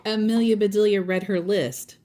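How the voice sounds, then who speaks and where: A woman's voice: mid-range voice, fairly even intonation, normal speed, mellow. A woman storyteller reading a children's picture book aloud.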